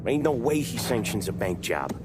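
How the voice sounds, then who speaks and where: A man's voice: medium pitch, big pitch swings, a little fast, background noise, natural voice. A man playing a blunt, loyal American mob enforcer in a video game cutscene, curt, profane and no-nonsense. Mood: stern warning.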